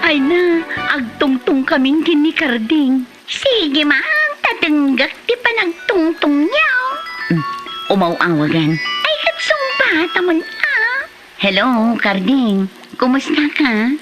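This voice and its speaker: A woman playing an expressive, fussy young woman in an Ilocano comedy drama, with an Ilocano accent. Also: sits high, slow pace, lo-fi sound, over music, full character voice. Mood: puzzled.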